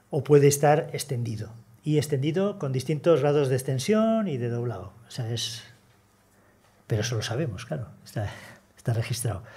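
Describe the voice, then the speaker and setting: An older man giving a measured, explanatory seminar talk on conservation, pausing often with hesitations, seated at a table in a conference room. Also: medium pitch, a little mellow, breathy edge.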